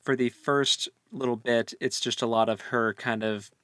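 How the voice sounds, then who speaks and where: Male co-host, mid-pitched, informal, slangy, joking book chat, dry recording. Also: fairly even intonation, moderate pace, fairly bright tone, no room sound. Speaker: podcast co-host. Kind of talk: casual book discussion.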